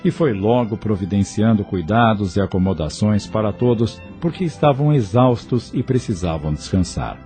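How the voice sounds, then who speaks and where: Male audio novel narrator: scripted dramatic read, dark mellow tone, very varied intonation. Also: on the low side, expressive, moderate pace, few pauses. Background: faint music.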